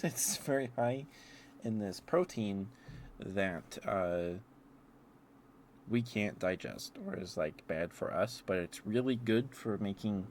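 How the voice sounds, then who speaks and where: A man streaming a casual, rambling ASMR chat, speaking in a halting, musing way as if thinking aloud. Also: low voice, darkish tone, slight background noise. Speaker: livestreamer.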